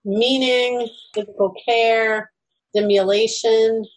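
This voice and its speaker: Co-host, woman, slow and steady, reads the chat list aloud on a call. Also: a little high, poor audio. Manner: lists items one by one. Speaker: co-host reading out chat responses.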